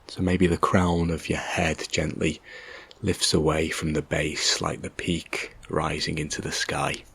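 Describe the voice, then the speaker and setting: A man leading a guided meditation in a gentle, calm, measured way, leaving long pauses between short instructions. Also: rumbling low, gravelly, breathy edge.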